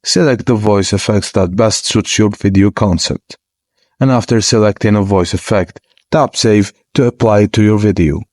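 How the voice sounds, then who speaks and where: A man with a lowish voice reads out a step-by-step app tutorial. His delivery is plain and instructive, at an even pace. The recording is dry, with no room sound. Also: no room sound. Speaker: tutorial narrator. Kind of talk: scripted step-by-step tutorial narration.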